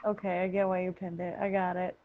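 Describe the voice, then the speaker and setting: A woman's voice: lowish voice, flat delivery, a little fast, dry recording. Female podcast host, informal and teasing, dry close sound with a dulled top end. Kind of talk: casual group chat. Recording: muffled, dull lo-fi podcast audio.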